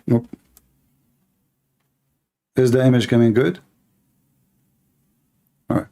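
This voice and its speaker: Dark-voiced mocking man with an Arabic accent. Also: mid-range voice, slow pace. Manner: mocking, repetitive, with rhetorical questions. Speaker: live stream host and religious polemicist. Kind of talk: informal live teaching with mocking commentary.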